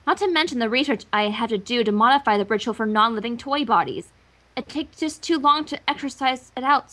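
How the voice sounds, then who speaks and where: A woman's voice: fairly high pitch, bright, forceful tone. A woman voicing a brainy, bossy little female unicorn who explains things wordily, in a cartoon story reading. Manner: wordy, explanatory.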